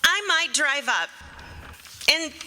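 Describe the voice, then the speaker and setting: A clingy, adoring young sweetheart played by an actress in a stage radio play. Her voice is high and extremely bright, cooing and wheedling, with hall reverb around it. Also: lively intonation, slow, heavily acted. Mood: wistful, wheedling. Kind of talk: old-time radio play acting.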